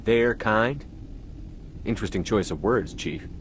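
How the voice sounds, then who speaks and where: An actor playing a hard-nosed military commander in a space video game, curt and authoritative. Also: male voice, on the low side, unhurried, slight background noise, close to an everyday voice. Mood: stern.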